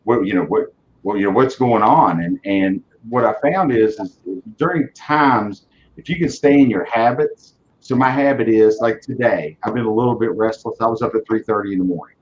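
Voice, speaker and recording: A man's voice: fairly low pitch, normal speed, dull audio, a little hiss or hum. A man in his forties giving casual interview answers in a blunt, driven way, often repeating words as he restarts. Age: 40s. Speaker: auto dealership leader, guest.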